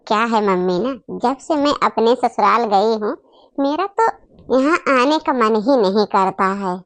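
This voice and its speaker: Cartoon spoiled young wife visiting her parents, played by a woman: boastful, disdainful, high-pitched, dry close sound. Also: lively intonation, a little slow, no echo. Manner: bragging, complaining. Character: boastful, snobbish young married daughter visiting home. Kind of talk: Hindi family comedy cartoon acting.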